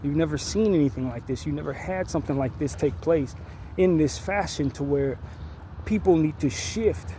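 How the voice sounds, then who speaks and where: A man giving a measured, deliberate opinion monologue as an online commentator, stressing words emphatically and often restarting his sentences. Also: fairly high pitch, mellow, slight background noise.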